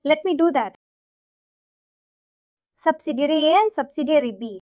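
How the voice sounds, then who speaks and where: A woman's voice: high-pitched, expressive, normal speed, a little mellow, no echo, lo-fi sound. A woman trainer explaining a concept to learners step by step, repeating herself and checking that they follow. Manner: repetitive, checks understanding often. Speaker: software trainer.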